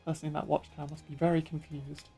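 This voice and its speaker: A woman's voice: deep voice, flat delivery, fairly quick, dark tone, slightly rough, soft background music. A woman streamer chatting playfully and casually while playing a game, laughing easily. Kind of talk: casual let's-play commentary.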